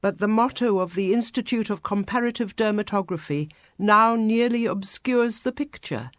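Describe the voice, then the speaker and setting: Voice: mid-range voice, moderate pace, a bit bright, no room sound. Casting: story narrator, scripted audiobook read in a very dry studio.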